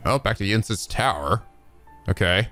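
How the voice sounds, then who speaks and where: Man, mid-pitched lively voice, casual reaction commentary, sarcastic, foul-mouthed. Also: moderate pace, faint music behind. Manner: sarcastic, profane. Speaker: let's-play host reacting to cutscenes.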